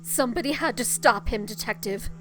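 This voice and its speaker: An actress playing a young rookie superhero, grieving and defensive, in a halting, emotional audio drama performance. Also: female voice, on the high side, a bit bright, lightly acted, rough recording. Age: young adult. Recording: music behind the voice.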